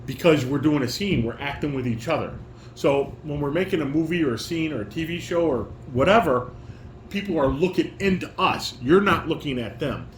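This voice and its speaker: A fairly high-voiced man acts as a dad coaching a scene, reading out directions and correcting as he goes. He speaks in an instructive, informal way, recorded in an echoey room with some noise. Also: a little fast. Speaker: father directing a family table read.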